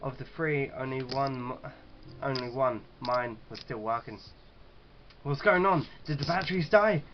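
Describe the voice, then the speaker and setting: A man with a middling-pitched voice reads visual novel narration out loud. He stumbles, rereads phrases and slips in casual swearing. The sound is dull and lo-fi, with faint noise behind him. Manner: halting reader who stumbles and rereads. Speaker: gaming video host.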